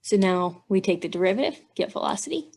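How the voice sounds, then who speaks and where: A woman instructor with noticeable vocal fry talks through technical material step by step. Her pitch sits in the middle range for a woman, and she explains methodically, working out each piece aloud. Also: animated, fast talker, darkish tone. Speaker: engineering instructor. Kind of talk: instructional walkthrough, thinking aloud while working out math.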